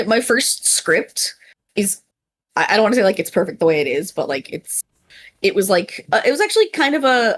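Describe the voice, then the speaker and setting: A woman guest chatting casually on a panel, excitable and self-deprecating, often stumbling and restarting. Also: lowish voice, vocal fry, husky. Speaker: panel guest, audio performer and script writer. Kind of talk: casual chat.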